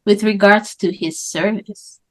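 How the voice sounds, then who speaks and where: A woman's voice: medium pitch, fairly even intonation, measured pace, a bit bright. A woman co-hosting a podcast with a mid-range voice, asking questions and steering the conversation in a casual chat. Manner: questioning, leads the discussion. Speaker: podcast co-host.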